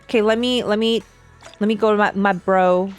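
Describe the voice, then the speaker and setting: A woman's voice: medium pitch, fairly even intonation, moderate pace, smooth. Chatty woman streamer over game music. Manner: chatty, thinks aloud, repeats herself. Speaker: streamer reacting to a game story. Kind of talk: casual reaction commentary.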